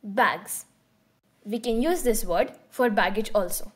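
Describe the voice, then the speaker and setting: A woman hosting her own channel, giving a scripted beginner lesson with calm, clear prompts. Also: mid-range voice, slow. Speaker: language teacher and channel host.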